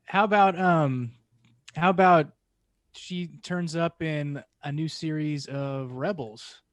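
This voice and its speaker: A man's voice: fairly high pitch, slow pace, no echo. A man chatting casually as a panelist on a podcast, speaking close to the microphone. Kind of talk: casual chat.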